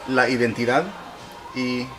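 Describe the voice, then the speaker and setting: A man's voice: medium pitch, completely flat delivery, rough recording. Hesitant man asking interview questions. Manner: halting, self-correcting questions. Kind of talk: casual interview.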